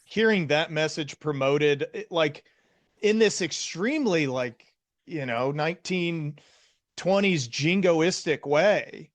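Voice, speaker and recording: A man's voice: on the high side, animated, slow pace. An American man chatting casually as the host of an interview podcast.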